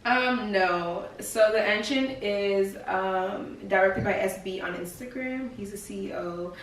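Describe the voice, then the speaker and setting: A woman's voice: medium pitch, recorded from a distance. A woman podcast co-host who grew up speaking Yoruba, chatting casually and breaking into giggles. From United States. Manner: giggly, chatty, quick bursts of laughter. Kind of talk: casual chat. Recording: echoey room, off-mic.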